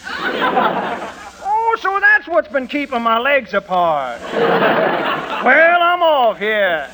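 An actor playing a bashful, dim-witted lovesick cowboy with a folksy drawl in a 1940s radio comedy. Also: male voice, very high-pitched, very animated, over a noisy crowd, full character voice. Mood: folksy, hollering. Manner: slow, rambling, hollering. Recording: old hissy radio recording, audience, music.

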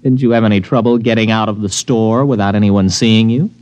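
A man's voice: lowish voice, fairly even intonation, a little fast, darkish tone, lightly acted. An actor playing an anxious, stammering city man with an American accent, in theatrical old-time radio drama style. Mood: alarmed. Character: anxious city man, flustered suitor cornered by a mind-reader. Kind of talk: 1940s-50s American radio drama acting. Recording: dull old radio recording.

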